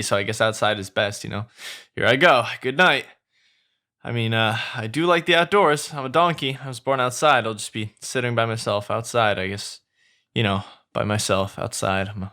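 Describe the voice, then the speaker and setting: A man's voice: medium pitch, moderate pace, bright, forceful tone. A man voicing a chatty, pushy talking male donkey who rambles on eagerly and begs. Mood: rambling.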